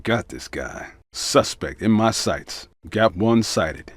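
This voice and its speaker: An actor playing a tough, urgent American police officer in a combat video game, speaking in clipped, forceful barks. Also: male voice, fairly low pitch, expressive, slow, no room sound. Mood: low, menacing. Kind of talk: short shouted combat barks.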